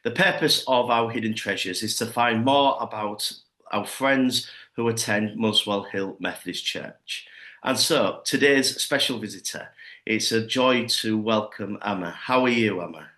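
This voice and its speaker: A man's voice: lowish voice, a little slow, sharp tone. Friendly male minister in echoey room. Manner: friendly, conversational. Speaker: church minister, host. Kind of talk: hosted interview.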